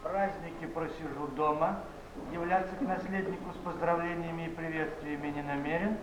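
Disdainful gentleman acted by a man, deliberate theatre delivery, slightly high voice in an echoing hall. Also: little pitch movement, crowd noise, close to an everyday voice. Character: dry, disdainful, proud letter writer. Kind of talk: theatrical letter reading. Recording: echoey, noisy 1970s stage recording.

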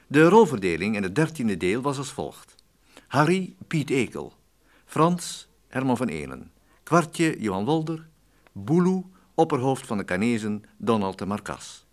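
Lively male radio serial announcer. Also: mid-range voice. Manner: formal announcing. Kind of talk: scripted narration, old radio announcing.

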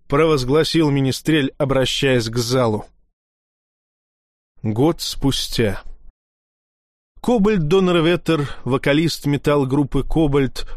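A man reading a humorous fantasy tale aloud as an audiobook narrator, lively in his storytelling. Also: a little high, dry recording, studio quality.

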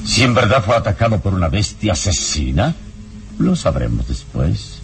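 An actor playing a calm, wise, fearless adventurer in an old radio serial, speaking solemnly and reassuringly. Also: male voice, deep voice, moderate pace, creaky, exaggerated voice. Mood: serene, resolute. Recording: faintly noisy old radio recording.

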